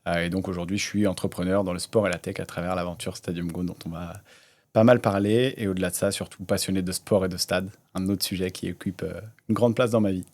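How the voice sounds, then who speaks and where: A man in his thirties, a startup founder, telling his story in a relaxed way with many hesitations in a casual interview. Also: low voice, quick pace. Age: 30s.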